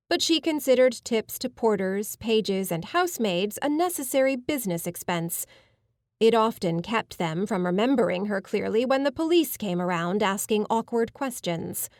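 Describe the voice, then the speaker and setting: A woman reading a novel as an audiobook narrator, with a measured, polished literary delivery. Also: mid-range voice, dry recording, studio quality. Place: studio, dry and close.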